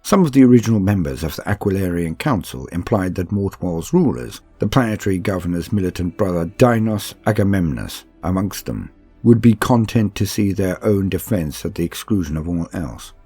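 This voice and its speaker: Male narrator, low and mellow, calm sleep-aid style book reading, steady and soothing. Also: breathy edge, faint music behind. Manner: measured, soothing, unhurried storytelling.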